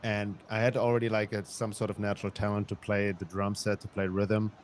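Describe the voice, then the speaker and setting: This is a man in his fifties from Germany speaking as a podcast guest. His voice sits low, and he tells his story in a reflective way, often restarting his sentences. A faint hum of outdoor traffic runs behind him. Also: level tone, brisk. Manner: reflective, with frequent restarts and light laughs. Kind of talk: conversational interview storytelling.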